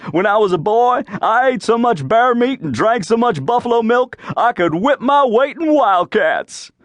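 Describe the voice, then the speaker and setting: A man's voice: very high voice, lively intonation, moderate pace, a bit bright, no room sound, pitched above the performer's own voice. A man voicing a boastful, rowdy frontier backwoodsman who hollers his brags in punchy phrases for a tall-tale audiobook. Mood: proud bragging.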